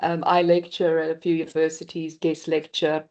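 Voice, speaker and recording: A South African woman in her fifties speaking on a conference panel, halting with many fillers and restarts, often calling the audience guys. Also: deep voice, monotone, darkish tone, muffled recording.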